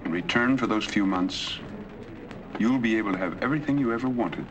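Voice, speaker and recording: An actor playing a middle-aged wealthy dying man in a 1940s film, calm and persuasive. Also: on the low side, fairly quick, lo-fi sound, lightly acted. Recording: old film soundtrack, distant and noisy.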